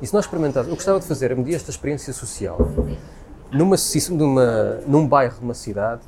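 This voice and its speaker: Fast-talking Portuguese man, emphatic. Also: medium pitch, expressive, darkish tone. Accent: European Portuguese. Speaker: speaker sharing opinions in a conversation. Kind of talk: spontaneous opinionated conversation.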